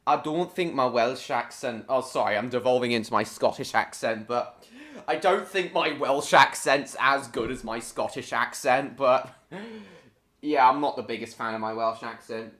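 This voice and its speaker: A man's voice: mid-range voice, moderate pace, a bit bright. A man hosting a live stream, chatting casually and rambling to his viewers as he reacts to videos. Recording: echoey room.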